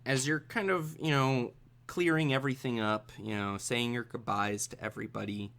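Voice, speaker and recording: A man working as game master, improvising the narration of a tabletop role-playing game in a halting, thinking-aloud way. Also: mid-range voice, fairly even intonation, vocal fry, a bit bright.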